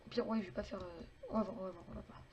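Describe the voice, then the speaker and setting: A woman's voice: medium pitch, fairly even intonation, racing, lo-fi sound, a little hiss or hum. A woman narrating a casual game tutorial while building, chatty and full of fillers and little clicking sounds.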